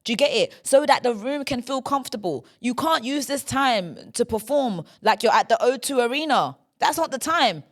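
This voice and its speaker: A woman's voice: on the high side, animated, normal speed, hardly any pauses. A young woman, hosting a solo podcast and complaining in a chatty way. She ends almost every line with a tag question. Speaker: podcast host.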